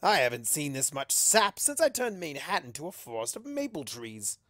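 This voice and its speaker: A man's voice: on the high side, huge pitch swings, normal speed, a bit bright, no echo, clean studio sound. A man voicing a mischievous male chaos monster, sardonic and theatrical, in a cartoon fan story. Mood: mocking. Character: mocking, mischievous male chaos monster, showy trickster villain. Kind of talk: cartoon fan fiction character reading.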